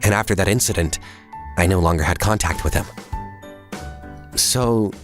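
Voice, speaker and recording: A man's voice: sits low, normal speed, over music, close to an everyday voice. A man playing an earnest, polite young businessman who narrates his own story in a comic drama dub. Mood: polite, measured. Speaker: voice actor narrating and playing the lead.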